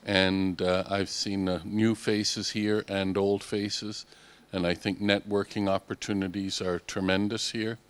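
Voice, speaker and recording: Man, very deep voice, monotone, hesitant, conference attendee. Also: measured pace, hardly any pauses, clear, resonant tone. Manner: hesitant, many filler sounds. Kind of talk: impromptu remarks.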